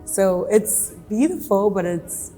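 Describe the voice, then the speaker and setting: A young woman from Ghana, a filmmaker talking casually as an interview guest, animated with lots of fillers. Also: medium pitch, slow. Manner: animated, chatty, many fillers. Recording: long reverb, faint music.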